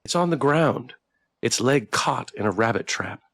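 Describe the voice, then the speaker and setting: Gravelly male game streamer reading aloud. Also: medium pitch, lively intonation, moderate pace, fairly bright tone, dry recording. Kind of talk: narration with casual live commentary. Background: background music.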